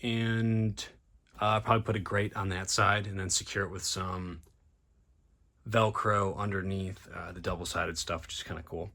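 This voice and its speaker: Bright, forceful low-voiced man chatting casually. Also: steady tone, moderate pace. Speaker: vlogger. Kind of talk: casual DIY vlog chat.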